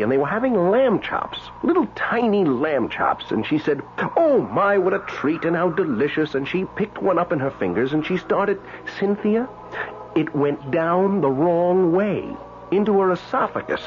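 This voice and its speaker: A muffled, noisy old radio drama recording carries an excitable ghost. His voice is high and wildly animated, rambling on eagerly and breathlessly like someone bursting to tell his news. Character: excitable dead man, giddy eager ghost doing good deeds.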